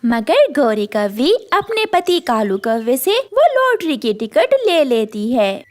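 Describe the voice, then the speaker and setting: A woman's voice: high voice, big pitch swings, takes it slowly. A woman narrating a scripted children's story.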